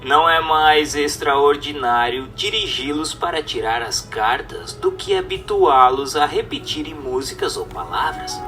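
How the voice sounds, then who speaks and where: A man reads a formal text aloud as scripted narration, in a measured, didactic manner. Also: fairly high pitch. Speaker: narrator reading a book aloud. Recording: distant mic with music underneath. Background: music.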